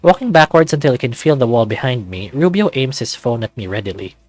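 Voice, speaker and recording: Animated man narrating a visual novel. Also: mid-range voice, fast. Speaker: let's play host. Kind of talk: reading a visual novel aloud.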